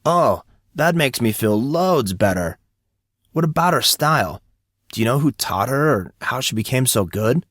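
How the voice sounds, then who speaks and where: A man voicing an indignant, excitable teenage high school boy who talks in slangy exclamations. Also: unhurried, no room sound, studio quality. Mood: baffled, curious.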